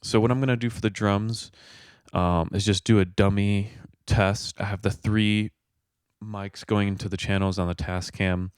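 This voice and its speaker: A man in his twenties talking casually and low-key as he explains his home recording setup into a close mic. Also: clear, resonant tone, no room sound. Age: 20s. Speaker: musician and host of a home recording video. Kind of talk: casual explanatory vlog talk. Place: small home studio room, indoors. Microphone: close microphone, handheld or on a stand.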